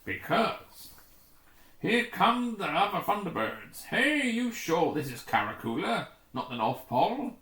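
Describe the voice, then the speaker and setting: A man's voice: high voice, sing-song, unhurried, pushed and bright, roomy sound, voice pushed up, exaggerated voice. A man voicing a daring, eager rescue pilot with punchy delivery in a sci-fi adventure story. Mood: excited. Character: daring, gung-ho young male rescue craft pilot.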